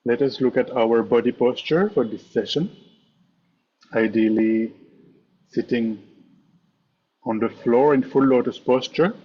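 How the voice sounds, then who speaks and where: A man leading a guided meditation as a teacher, speaking in measured, instructive short phrases with pauses. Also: medium pitch, muffled recording, slight background noise. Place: online meditation session over video call.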